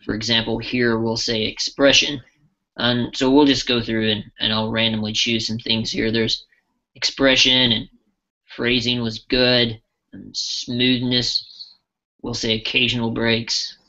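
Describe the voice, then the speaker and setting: A man giving a casual live software demo as a trainer, talking loosely with frequent restarts. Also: medium pitch, flat delivery, measured pace, dull audio.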